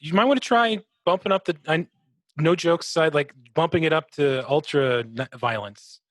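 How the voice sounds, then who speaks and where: A man's voice: a little high, normal speed, a bit bright, no echo. A man co-hosting a podcast, casually analysing a video game and often searching for his words. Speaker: podcast co-host.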